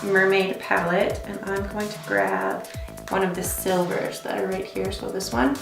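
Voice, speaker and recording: A woman's voice: medium pitch, steady tone, a little slow, creaky. A young woman giving a casual, chatty beauty tutorial in an effusive, rambling way, as host. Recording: roomy echo, music behind. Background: music.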